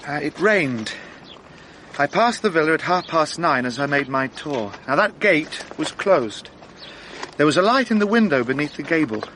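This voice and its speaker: An actor playing an earnest, dutiful French police sergeant in a radio drama, speaking formally as if giving a step-by-step report. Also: male voice, on the high side, very animated, moderate pace, fairly bright tone, slight background noise.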